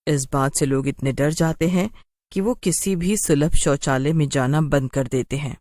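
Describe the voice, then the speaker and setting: A woman's voice: low-pitched, normal speed, dark tone, no room sound, studio quality. A woman narrating a scripted cartoon story in a dramatic storytelling style.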